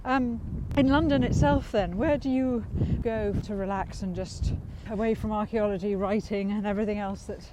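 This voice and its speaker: A woman's voice: medium pitch, fairly even intonation, moderate pace, hardly any pauses. Chatty woman host on a windy walk. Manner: friendly, chatty questioning, stumbles over words. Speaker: podcast host. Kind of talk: casual walking interview. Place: outdoors in a windy city park. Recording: outdoor street noise and wind.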